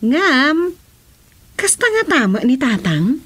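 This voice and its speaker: An actress with an Ilocano Filipino accent playing a nosy, exclaiming young village wife in a radio comedy drama. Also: female voice, sits high, big pitch swings, slow pace, a bit bright, breathy edge, heavily acted. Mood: teasing, curious. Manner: exclamatory, reactive.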